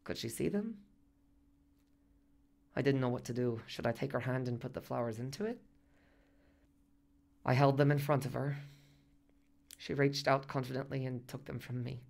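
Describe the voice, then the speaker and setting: Man acting chatty husband in a radio drama, mid-pitched voice, earnest, rambly storytelling with lots of little asides. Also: normal speed, vocal fry, pauses often, darkish tone, close to an everyday voice. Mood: earnest, unsure. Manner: conversational, rambling, detailed asides. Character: earnest, chatty working-class husband recalling a hospital visit. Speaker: radio play actor. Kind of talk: storytelling monologue in a radio play.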